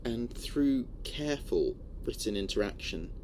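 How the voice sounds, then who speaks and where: A man's voice: medium pitch, expressive, a little slow, a little mellow, slight background noise, lightly acted, low-quality recording. A man playing an anxious, brooding spaceship communications officer reading his log in a scripted sci-fi horror audio drama. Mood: uneasy, reflective. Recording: music under voice.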